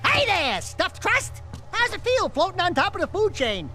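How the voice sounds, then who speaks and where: A man's voice: very high voice, huge pitch swings, loud music behind the voice, exaggerated voice. A man voicing a cocky, wisecracking small furry animal sidekick in a video game cartoon, boastful and theatrical. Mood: teasing, flattering. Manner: boastful, fast-talking, theatrical.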